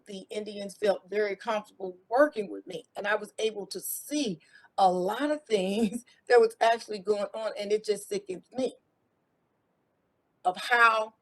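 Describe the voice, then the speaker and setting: A woman's voice: mid-range voice, rough recording. A middle-aged American woman talking in a casual interview, rambling and restarting with repeated words. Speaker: guest, former IT contractor.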